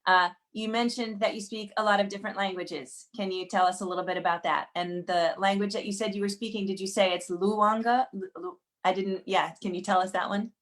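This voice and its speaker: A woman hosts an online sing-along over a video call. She thanks her guest and asks her questions in a friendly way, a bit flustered as she fumbles the mute button. Her voice sits at an ordinary pitch. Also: fairly even intonation, brisk. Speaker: host. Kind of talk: online singing session host.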